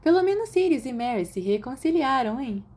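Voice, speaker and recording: A woman's voice: sits high, sing-song, a little fast, a little mellow, voice pushed up. A woman with a Brazilian Portuguese accent voicing a friendly, playful teenage schoolgirl in an audiobook.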